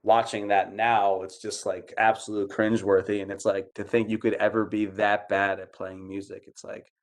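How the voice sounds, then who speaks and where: A man's voice: lowish voice, level tone, normal speed. A man, a musician guest, chatting casually and leaning heavily on "like" as a filler. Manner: filler-heavy, lots of "like". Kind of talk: casual conversation.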